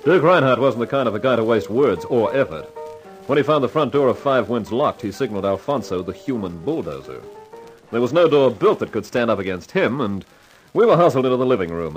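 Husky, animated noir detective. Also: male voice, medium pitch. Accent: American. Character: wry, wisecracking hard-boiled detective narrating his case. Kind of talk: 1950s radio noir narration and dialogue.

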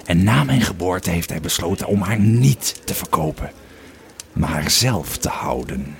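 Man voicing a gruff, deep-voiced old Roman legionary. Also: animated, exaggerated voice. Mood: solemn reminiscing. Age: older. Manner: gruff, slow storytelling. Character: grumpy old Roman legionary, male, weary and wine-drinking. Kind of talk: audio drama storytelling.

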